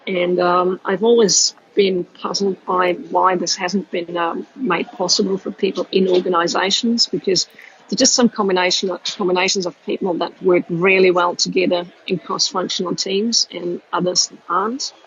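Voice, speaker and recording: A middle-aged woman with a mixed, hard-to-place accent talks through her answers in an even, flat tone. Her voice has a gravelly edge, and she fills pauses with ums. The audio sounds dull and lo-fi. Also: fairly low pitch. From Austria. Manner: steady, many ums and uhs. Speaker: guest, agile coach. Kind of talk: casual interview answers.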